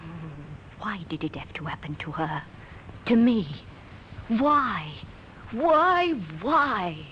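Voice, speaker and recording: A woman's voice: medium pitch, very animated, slow pace. An actress playing a bitter, grieving middle-aged mother in an old radio drama. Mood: anguished. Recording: muffled, noisy old radio broadcast.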